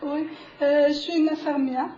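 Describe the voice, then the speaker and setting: Soft-spoken young woman from Morocco. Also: sits high, fairly even intonation, normal speed. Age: young adult. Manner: hesitant, many fillers and restarts, soft laughs. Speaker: newcomer introducing herself. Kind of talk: halting self-introduction to a group. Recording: echoey room, a bit dull, faint hiss.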